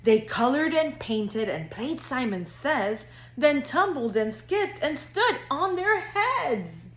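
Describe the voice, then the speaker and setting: Female school counselor, storybook read-aloud for kindergartners. Fairly high pitch, gentle child-directed delivery, noticeably roomy sound. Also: very animated, slow, slight background noise.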